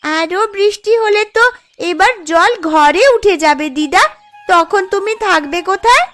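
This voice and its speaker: A woman's voice: very high-pitched, measured pace, a bit bright, faint music behind. A woman voicing a caring, eager young village grandchild in a cartoon story. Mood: worried, caring.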